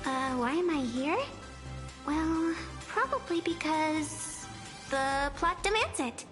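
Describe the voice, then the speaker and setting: A woman's voice: high-pitched, expressive, slow pace. A woman playing a mischievous, theatrical young girl trickster in an anime-style game, playful and melodramatic. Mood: sheepish, playful. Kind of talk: video game character dialogue. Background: game music.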